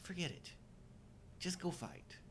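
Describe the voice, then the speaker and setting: A man's voice: wildly swooping pitch, a little slow, a bit bright. A middle-aged man co-hosting a live show, chatting casually about movies with wry, jokey exaggeration. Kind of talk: casual humorous movie-discussion chat. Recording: noticeable low rumbling background noise.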